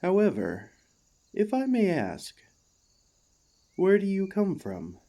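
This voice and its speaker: A man playing an earnest, devoted warforged ex-gladiator in an ASMR roleplay, speaking to the listener with formal, courteous, gently hesitant phrasing. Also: high voice, wildly swooping pitch, takes it slowly, full character voice. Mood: warm, tentative.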